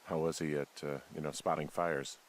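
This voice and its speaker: A man voicing a weary, wry, awkward male fire lookout in a video game, speaking hesitantly with low-key dry humor. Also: flat delivery, moderate pace, heavy background noise. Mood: thoughtful. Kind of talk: naturalistic video game voice acting.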